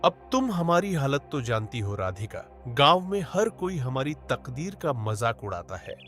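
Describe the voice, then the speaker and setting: A man's voice: medium pitch, sing-song, a little slow, fairly bright tone, soft background music. A man voicing a poor, hardworking young village man who speaks in rustic village speech and exclaims often, in a cartoon story. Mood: worried.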